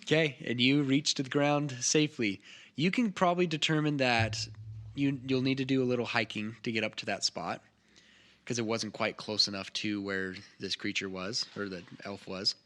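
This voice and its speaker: A man's voice: mid-range voice, fairly even intonation, moderate pace, a bit bright. A man casually narrating a tabletop game as game master among friends.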